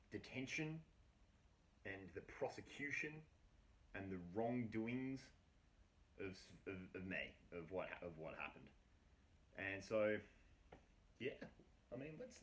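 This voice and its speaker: A man's voice: fairly low pitch, fairly bright tone. A man casually telling a story about himself in a slangy, sweary, wry and self-mocking way. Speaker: storyteller, host of his own story series. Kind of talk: casual first-person storytelling. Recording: echoey room, distant from mic.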